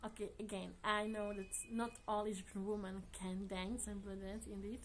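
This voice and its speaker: A woman talks casually to her viewers in a mid-pitched voice. Her English is earnest and chatty, with non-native phrasing and soft laughs here and there. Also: little pitch movement, normal speed, a little hiss or hum. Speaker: vlogger. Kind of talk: casual chat to camera.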